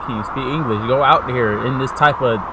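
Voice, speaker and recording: A man's voice: mid-range voice, a little fast, background noise. A man hosting a reaction video, giving casual, slangy commentary with muttered, informal asides.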